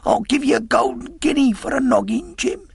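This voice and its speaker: A feeble, pleading old sea captain. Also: male voice, very high-pitched, animated, brisk, creaky, breathy edge, dry recording, studio quality, pitched above the performer's own voice, exaggerated voice. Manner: pleading, wheedling, sailor slang. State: weak, feeble voice.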